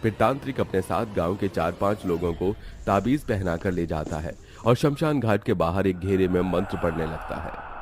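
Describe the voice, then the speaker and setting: A man's voice: sits low, lively intonation, moderate pace, a little mellow, a little hiss or hum. A man giving scripted narration of a cartoon horror tale as the storyteller. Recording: music under the voice.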